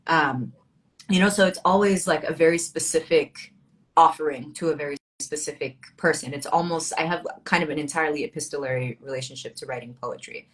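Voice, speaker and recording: Low-voiced woman poet in casual conversation. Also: fairly quick, poor audio. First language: Arabic and English. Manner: reflective, informal, wryly humorous. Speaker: guest poet and author.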